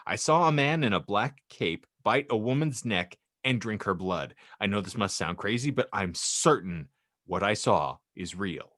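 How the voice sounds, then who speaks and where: A man's voice: on the low side, no echo, clean studio sound. A man hosting a casual group podcast, speaking in a wordy, theatrical way. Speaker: podcast host. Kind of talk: casual group chat with a read-out prompt.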